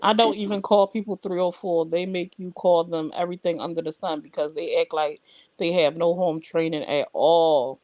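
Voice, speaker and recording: A woman's voice: on the low side, steady tone, moderate pace, darkish tone, dry recording, lo-fi sound. A woman hosting a casual livestream, talking bluntly and straight to her viewers. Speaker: livestream host. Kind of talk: casual live chat.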